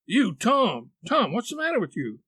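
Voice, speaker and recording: A man voicing a flustered, scolding old aunt, brisk but soft-hearted, in an audiobook reading. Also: high voice, huge pitch swings, husky, dry recording, clean studio sound, voice pushed up, exaggerated voice. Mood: panicked.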